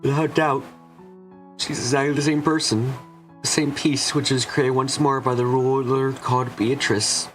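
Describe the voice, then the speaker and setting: A man's voice: mid-range voice, level tone, measured pace, faint music behind, lightly acted, rough recording. A man playing an earnest, remorseful young man who narrates his own story in a dramatic visual novel style. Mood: reflective.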